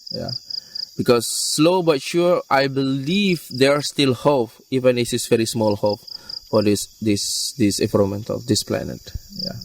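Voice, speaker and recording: A man, a wildlife rescue worker, speaking earnest and halting English in an interview outdoors. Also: mid-range voice, creaky. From Indonesia. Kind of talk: interview answers. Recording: distant mic, faint insect noise.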